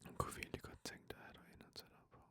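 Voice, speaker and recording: A man with a Danish accent playing a tender boyfriend in an intimate sleep-aid audio roleplay, murmuring and whispering slowly and soothingly, close to the mic. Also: close to an everyday voice. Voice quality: breathy whisper, husky murmur. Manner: slow, soothing, hushed, with soft hums. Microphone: very close microphone, dry.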